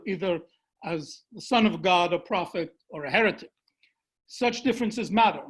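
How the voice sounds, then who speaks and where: A man presenting an academic paper over a video call, reading in a measured, deliberate way and then answering questions. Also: high voice, poor audio. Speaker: seminar presenter.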